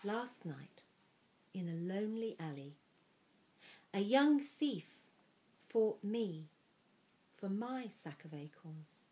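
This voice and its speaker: A woman storyteller narrates a picture book at a slow pace in a mid-pitched voice. Her delivery is expressive and deliberate, with some phrase endings softened into a hush. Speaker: storyteller reading aloud.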